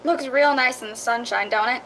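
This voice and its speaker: Radio drama property seller played by a woman: bright, high, chatty, persuasive, folksy. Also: moderate pace, a little hiss or hum, low-quality recording. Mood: eager, reassuring. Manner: eager, reassuring, folksy salesmanship.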